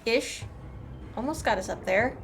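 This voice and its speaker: High-voiced woman streaming a game. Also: measured pace. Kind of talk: casual playthrough commentary. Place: indoor streaming setup, game music behind.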